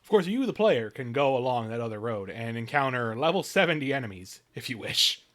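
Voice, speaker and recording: A man's voice: medium pitch, big pitch swings, a little fast, fairly bright tone. A man giving casual live stream game commentary, rambling and often rephrasing mid-thought, with game music behind him.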